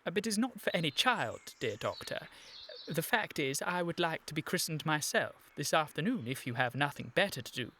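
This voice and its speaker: Bright-voiced, earnest English gentleman. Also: fairly high pitch, sing-song, a little fast, slight background noise. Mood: awkward, casual. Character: earnest, evasive young English gentleman feigning grief. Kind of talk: period stage comedy radio acting.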